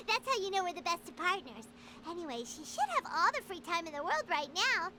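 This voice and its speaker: A woman voicing a small, excitable, childlike fairy companion in an anime video game, with a squeaky, perky, emphatic delivery. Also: moderate pace, slight background noise, heavily acted. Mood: excited. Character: small excitable childlike fairy companion, chirpy, confident, speaks in third person. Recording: music behind the voice.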